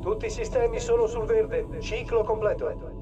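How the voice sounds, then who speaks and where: High-voiced, formal military technician played by a man. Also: moderate pace, music in the background, lightly acted. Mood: methodical. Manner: procedural, respectful. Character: attentive, formal military systems technician, adult man, methodical. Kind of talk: video game voice acting. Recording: dull band-limited sound, clearly noisy background.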